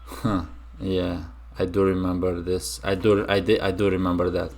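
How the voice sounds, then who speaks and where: A man's voice: low voice, flat delivery, moderate pace, vocal fry, darkish tone, faint music behind. A young man from Romania hosting a casual reaction video, musing aloud with many fillers and restarts.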